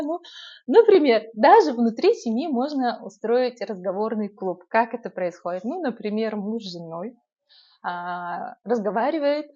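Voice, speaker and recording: A young woman from Yakutia with an urban Yakut accent, giving language-learning tips in a casual, lively vlog with frequent filler sounds. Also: medium pitch, dull audio. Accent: urban Yakut Russian. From Yakutia, Russia.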